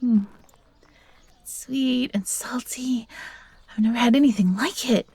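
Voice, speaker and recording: A woman as a breathy, timid young fox girl. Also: fairly high pitch, slow, dark tone, no echo, heavily acted. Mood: delighted, eager. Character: young fox girl, timid, eager, grateful former servant. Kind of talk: scripted audio roleplay addressed to the listener.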